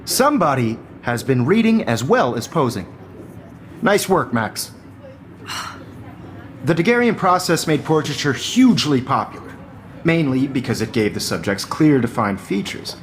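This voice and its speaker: Guy voicing game photography teacher, articulate n teasing, really lively intonation, bit of room noise. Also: medium pitch, normal speed, close to an everyday voice. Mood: approving, wry. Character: smooth-talking, witty, self-assured male photography teacher. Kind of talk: classroom lecture, video game acting.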